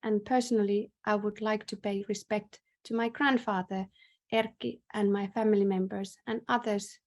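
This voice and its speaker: Woman, Finnish accent, mid-pitched voice, session chair, formal welcome, measured and hesitant delivery, video call. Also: steady tone. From northern Finland. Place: indoors in a bright room, on a video call. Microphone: video call microphone.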